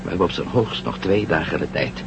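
A man acting in a Dutch radio drama as a bold, quick-witted former astronaut, persuasive and decisive. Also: sits low, expressive, gravelly. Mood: pressing. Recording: muffled, noisy old radio drama recording.

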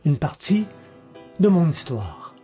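A man's voice: a little high, big pitch swings, slow, mellow, faint music behind. A man giving a scripted podcast introduction as its host.

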